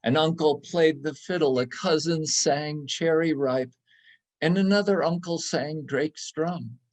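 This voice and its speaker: A man reading a literary story aloud for a church service, with measured, deliberate phrasing. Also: fairly high pitch. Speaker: readers theater reader at a church service.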